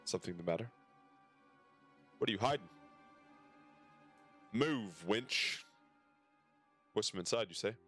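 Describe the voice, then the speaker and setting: A man with a low-pitched voice reads story dialogue from a game aloud for a stream. He drops in casual comments and sometimes trips over the text. Music plays behind him. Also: lively intonation, measured pace, pauses often, a bit bright, breathy edge. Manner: stumbles over text while reading aloud.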